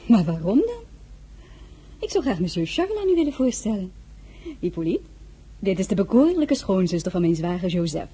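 An actress playing a charming, coquettish, evasive society hostess in a radio drama, with a hesitant manner. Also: female voice, mid-range voice, very animated, moderate pace, long pauses, warm tone, slightly rough. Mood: airy, covering up.

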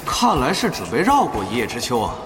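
A man's voice: medium pitch, huge pitch swings, brisk, in a large hall, over music. A man playing a thoughtful esports analyst in an audio drama, explaining the play in a considered way. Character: measured male esports pundit, explanatory and thoughtful. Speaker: guest analyst.

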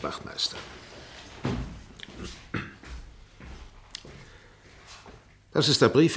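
A man's voice: medium pitch, natural voice. An actor playing an insistent, insinuating defence barrister in a German radio courtroom drama, formal and peremptory as he questions. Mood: pressing, peremptory. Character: relentless, insinuating male defence barrister cross-examining a witness.

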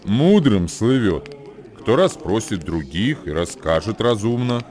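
An actor in a radio play voicing a grave male wanderer who recites ancient wisdom verse in a deliberate, declamatory way. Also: on the low side, very animated, a little mellow. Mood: grave, reflective. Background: music.

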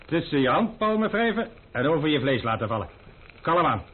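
An actor playing a wise, teasing, food-loving former servant and friend in a radio drama, measured and gently ironic. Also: male voice, fairly high pitch, very animated, breathy edge. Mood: instructive. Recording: noisy, narrow-band old radio recording.

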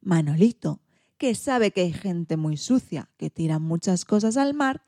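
A woman reading a children's storybook in an expressive, theatrical way. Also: fairly low pitch, a little slow, no room sound, studio quality. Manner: theatrical, acts out sound effects and exclamations.

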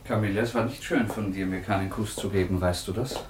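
An actor playing an earnest, wounded young suitor in a theatrical radio play. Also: male voice, fairly low pitch, brisk, a little hiss or hum, close to an everyday voice. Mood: coaxing.

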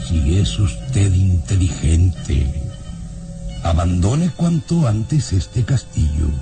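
A menacing aristocrat of radio serials, with a low, slow delivery that swings widely in pitch. He is imperious and slippery, speaks, and music plays loudly behind him. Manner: imperious, evasive, threatening. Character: haughty, menacing male baron, owner of a castle. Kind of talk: old radio drama acting.

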